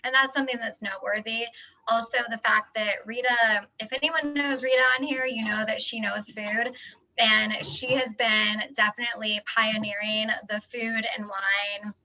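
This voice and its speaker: A woman moderating a casual video-call chat in an upbeat, quick promotional way. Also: fairly high pitch, fairly even intonation, bright, forceful tone. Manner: upbeat, fast promotional talk. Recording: dull lo-fi video-call audio.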